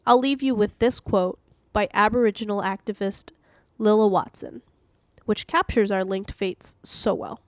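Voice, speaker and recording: A woman's voice: medium pitch, measured pace, a little mellow, dry recording, clean studio sound. A woman hosting and narrating a scripted devotional reading. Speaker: host reading daily devotionals.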